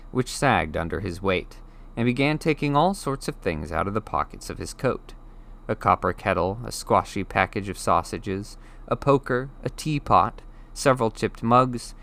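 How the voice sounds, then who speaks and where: Animated low-pitched man reading a story. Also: creaky. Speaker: audiobook reader. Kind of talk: expressive scripted story narration.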